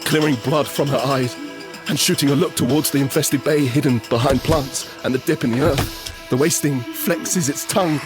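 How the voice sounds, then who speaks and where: An actor playing a frightened survivor in an audio drama, narrating urgently in the first person and present tense in fragmented phrases. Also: male voice, mid-range voice, fairly even intonation, moderate pace, music in the background, natural voice. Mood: tense, horrified. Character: desperate, frightened male survivor of a monster apocalypse. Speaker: narrator and lead character.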